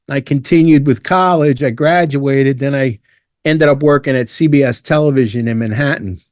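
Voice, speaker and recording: An older man, a guest telling his life story in a relaxed, rambling way. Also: fairly high pitch, no room sound, clean studio sound. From Ireland, raised in Brooklyn. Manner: relaxed, rambling, with restarts and asides. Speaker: guest, retired fire lieutenant. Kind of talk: casual storytelling interview.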